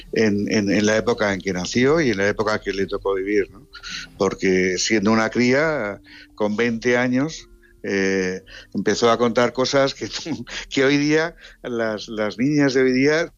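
An older man from Spain, a guest in a radio interview, talking in an earnest, halting way with many restarts. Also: lowish voice, no echo, dull audio. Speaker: guest, brother of a late singer.

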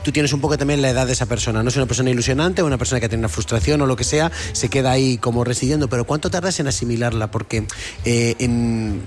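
A male radio host who talks quickly and chattily, circling around his questions with restarts. His voice sits at an ordinary middle pitch. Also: a little mellow. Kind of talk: casual radio interview.

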